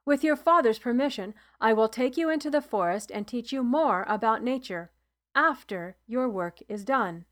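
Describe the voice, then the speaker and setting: A woman voicing a calm, gentle male healer who speaks in a measured, instructive way in a storybook reading. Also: fairly high pitch.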